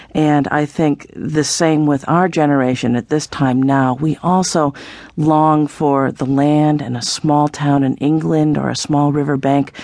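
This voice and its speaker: A woman's voice: low-pitched, a little mellow, dry recording. Female literary expert, conversational interview delivery, very dry close sound.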